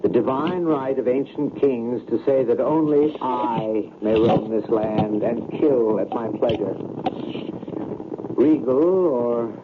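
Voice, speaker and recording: An actor playing an erudite, bumbling professor who is gentle and formal in an old-time radio drama. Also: male voice, medium pitch, natural voice. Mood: measured, wry. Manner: formal, deliberate, bookish. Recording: noisy, tinny old radio recording.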